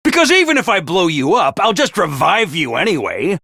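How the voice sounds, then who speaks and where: A man's voice: high voice, sing-song, normal speed, vocal fry, sharp tone, no room sound, studio quality, full character voice. A male actor playing a bombastic, theatrical little mascot commander in a video game, swinging between chirpy and sly. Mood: menacing. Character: bombastic, theatrical small robot-mascot commander, cheery yet menacing. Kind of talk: animated video game voice acting.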